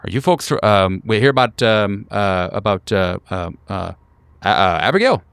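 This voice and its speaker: A man's voice: fairly low pitch, animated, normal speed, vocal fry, fairly bright tone, clean tone, no echo. Man acting out a flustered, stammering neighbor guy. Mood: nervous, friendly. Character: disheveled, awkward but friendly male apartment neighbor.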